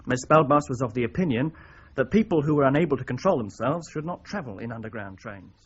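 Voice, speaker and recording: A man playing an earnest, formal, deadpan police sergeant in a radio drama. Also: medium pitch, quick pace, slightly rough. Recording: slightly dull radio drama recording.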